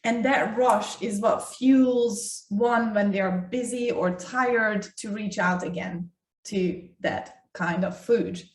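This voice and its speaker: Woman, medium pitch, health talk co-host with emphatic delivery, recorded in a roomy space away from the mic. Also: slow. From Ukraine. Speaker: doctor co-hosting a health talk. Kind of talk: conversational health talk to an online audience. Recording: echoey room, distant mic.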